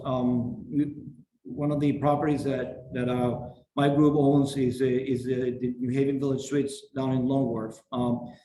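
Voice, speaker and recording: Middle-aged man, halting, roomy. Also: mid-range voice, mellow. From Venezuela. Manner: halting, many fillers and restarts. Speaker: program founder. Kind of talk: orientation talk on a video call. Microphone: video call. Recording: roomy echo, faint noise.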